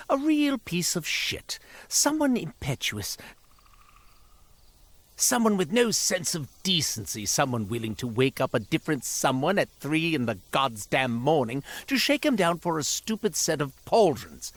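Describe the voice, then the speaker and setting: An actor playing a beleaguered, sardonic ex-thief shopkeeper in a video game, rambling theatrically with exasperated sarcasm. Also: male voice, mid-range voice, vocal fry, a bit bright, no echo, heavily acted. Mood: bitter, exasperated. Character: beleaguered, sardonic, cursed former thief turned quartermaster shopkeeper. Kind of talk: theatrical scripted character dialogue.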